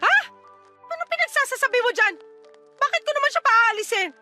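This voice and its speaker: A woman's voice: extremely high pitch, animated, brisk. A woman playing a callous, scornful mother in a radio drama, scolding and sarcastic as she sides with her lover. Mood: scolding, irritated. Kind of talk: Tagalog radio drama acting. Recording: dramatic music under the dialogue.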